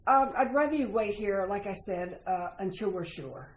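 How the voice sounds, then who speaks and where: A woman's voice: mid-range voice, moderate pace, lightly acted. Woman as an uneasy doctor, roomy sound. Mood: grave, hesitant. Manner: hesitant, guarded. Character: uneasy, reluctant doctor bearing grim news. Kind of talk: retro radio mystery acting. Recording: roomy sound with faint noise.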